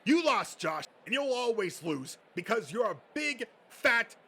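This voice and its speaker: A man's voice: extremely high pitch, sing-song, unhurried, sharp tone, slightly rough, voice pushed up, heavily acted. A man voicing a hostile, contemptuous gatekeeping nerd in a comic dub, with a sneering, foul-mouthed manner and noise in the recording. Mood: furious. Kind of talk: comic dub character acting.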